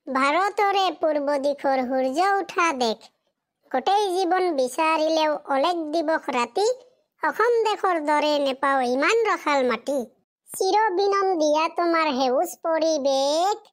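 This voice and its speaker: Cartoon schoolchild, extremely high-pitched, very slow, obedient, deferential, reciting in class. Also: a bit bright, heavily acted. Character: young schoolchild pupils, obedient, hesitant reciters. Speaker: pupil.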